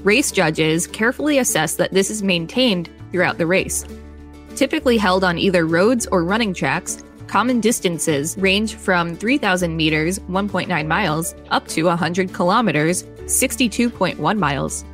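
Female podcast host, lower register, reading articles with casual asides over faint music. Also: moderate pace.

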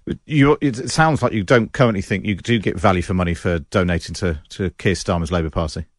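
A male radio presenter who asks brisk questions and links between segments, sometimes hesitating mid-question. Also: low voice, no room sound, clean studio sound. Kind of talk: broadcast interview and links.

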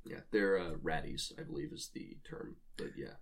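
Low-voiced man in casual discussion. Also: normal speed, gravelly, a bit bright, slight background noise. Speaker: podcast co-host.

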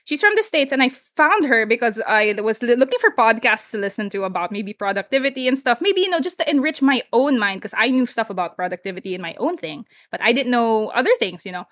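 Upbeat Filipino woman, fairly high voice. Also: expressive, fast, hardly any pauses, a bit bright. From Philippines. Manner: enthusiastic, encouraging, mixes in Tagalog words. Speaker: podcast guest. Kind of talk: casual conversation.

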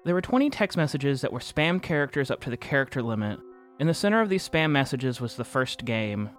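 Audio drama lead: male actor as a sardonic, guarded young guy, mid-pitched, confessional, music underneath. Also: very animated, fairly quick, fairly bright tone. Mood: dry, sardonic. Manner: dry, self-deprecating, sardonic. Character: wry, guarded young man confessing about a secret online game. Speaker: narrator and protagonist of an audio fiction podcast. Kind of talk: first-person confessional podcast narration, conversational. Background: instrumental music.

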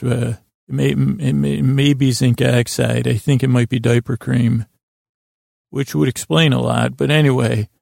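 His voice crackles with heavy fry, very dry and close to the ear. He is a man hosting a sleep podcast, wandering haltingly from one tangent to the next. The pitch sits in an ordinary male middle range. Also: darkish tone, no room sound. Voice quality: creaky, gravelly voice. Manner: meandering, halting, goes off on tangents. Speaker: podcast host. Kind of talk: rambling bedtime monologue to help listeners sleep.

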